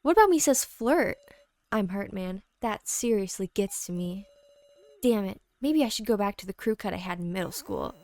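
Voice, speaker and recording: A woman's voice: medium pitch, very animated, normal speed, darkish tone. A woman voicing a cocky, teasing teenage boy, a loyal best friend in a game, with brash, slangy delivery. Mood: mock hurt.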